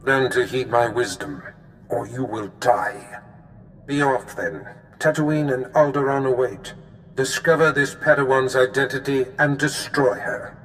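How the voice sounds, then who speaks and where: An actor playing a scheming, contemptuous Sith master in a video game, with a formal, grandiose and menacing manner. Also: male voice, medium pitch, unhurried, fairly bright tone, slight background noise, lightly acted, rough recording. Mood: stern, threatening. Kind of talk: video game cutscene acting. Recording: game music behind the voice.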